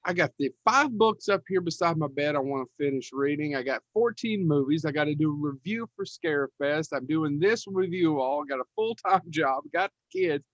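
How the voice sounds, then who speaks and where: Middle-aged male co-host, slightly high voice, self-deprecating rambler, casual delivery over dull lo-fi audio. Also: animated, moderate pace, few pauses, dry recording. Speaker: podcast co-host. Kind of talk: casual, rambling podcast chat.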